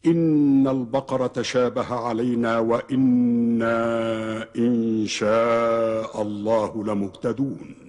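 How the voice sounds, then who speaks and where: Husky man chanting Quran. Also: medium pitch, darkish tone. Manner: chanted, drawn-out tajwid. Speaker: Quran reciter. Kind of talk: melodic Quran recitation.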